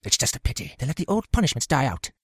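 A man's voice: mid-range voice, sing-song, fast talker, fairly bright tone, breathy edge, dry recording, studio quality. A man playing a cruel, leering school caretaker in an audiobook, nasty, gloating and menacing in manner. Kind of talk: audiobook character acting.

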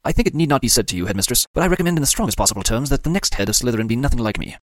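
A man's voice: medium pitch, animated, rapid-fire, no echo, studio quality, pitched above the performer's own voice, lightly acted. A man voicing a stern, weary middle-aged male potions master who speaks formally and with resignation. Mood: grave. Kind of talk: audiobook character voice.

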